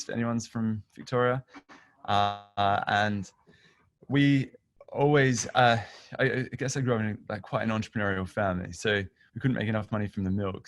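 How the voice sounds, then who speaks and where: Middle-aged aussie guy, lowish voice, chatty presenter telling his own story, very little room sound. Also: no echo. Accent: Australian. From Australia. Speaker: guest speaker, business founder and session lead.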